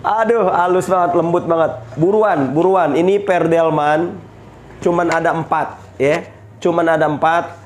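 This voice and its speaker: A man's voice: on the high side, fairly even intonation, unhurried, a little hiss or hum. A man with a stuffy nose from a cold, chatting upbeat as he shows off knives for sale. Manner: chatty, upbeat selling patter with pious exclamations. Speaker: knife seller. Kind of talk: casual product showcase.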